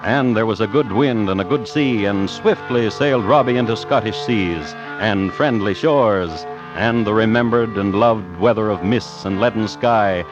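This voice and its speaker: A man's voice: lowish voice, normal speed, dull audio, lightly acted. A man narrating a scripted 1950s radio crime drama in a florid, theatrical storytelling manner. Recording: vintage radio broadcast with music behind. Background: music.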